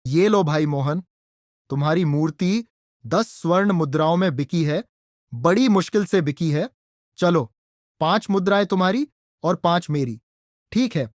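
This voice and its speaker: A man's voice: fairly high pitch, lively intonation, unhurried, pauses often, dry recording, clean studio sound. A man acting as a sly, cheating landlord in a cartoon story, talking in a dismissive, persuasive way. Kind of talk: Hindi cartoon story dubbing.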